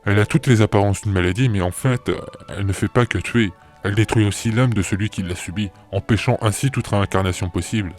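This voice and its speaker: A man acting a low, solemn oracle. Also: fast, few pauses, lightly acted. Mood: solemn, helpful. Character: calm, knowing male oracle, solemn and helpful. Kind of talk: amateur audio drama acting. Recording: faint music behind the voice.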